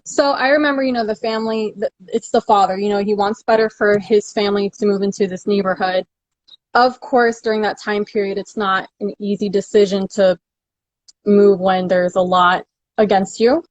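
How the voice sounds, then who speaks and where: A woman from the United States, a guest on a video call, talking in an upbeat, quick way with frequent "like" and "you know". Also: medium pitch, fairly even intonation, no room sound, muffled recording. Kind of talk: casual video call chat.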